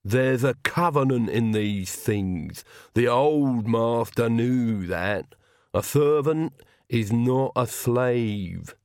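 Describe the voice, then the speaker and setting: A grumbling old manservant, as a narrator puts him on, drawn-out and muttering, with a throaty tone unlike the narrator's own. He complains in a slow, self-pitying drone. The sound is dry and close. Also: medium pitch, animated, a little mellow, dry recording. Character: grumbling hunchbacked old male servant.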